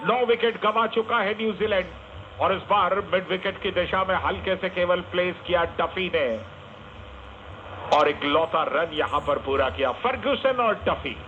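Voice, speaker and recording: Man, high voice, emphatic live cricket commentary, tinny radio with slight static. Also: normal speed. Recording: noisy narrowband AM radio broadcast.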